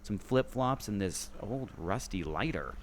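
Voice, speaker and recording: A man acting an eager, clue-spotting adventurer in a scripted radio play, expressive and theatrical. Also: on the low side, measured pace, a little hiss or hum.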